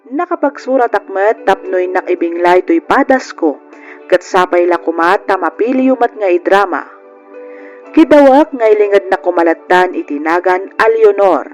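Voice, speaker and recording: A male radio drama host with an Ilocano accent reads in a scripted, solemn style. His voice sits high for a man, with faint music behind it. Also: normal speed. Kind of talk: scripted radio narration, reading a listener's letter.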